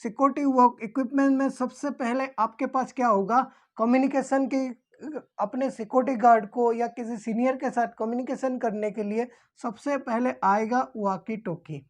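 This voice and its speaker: A woman's voice: a little high, steady tone, moderate pace. A woman explaining things as a teacher, repeating key terms as if dictating them. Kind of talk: explanatory lesson for security guards.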